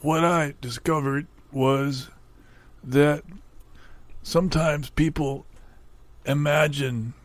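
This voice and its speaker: A man playing an earnest, hapless researcher in improvised comedy, halting and pedantic, with a creaky voice. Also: medium pitch, dry recording. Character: earnest hapless male researcher defending absurd wind theories.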